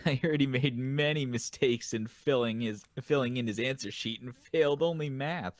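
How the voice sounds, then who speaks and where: A man's voice: medium pitch, normal speed, no room sound. A man casually reading comic dialogue aloud as a co-host on a reaction show.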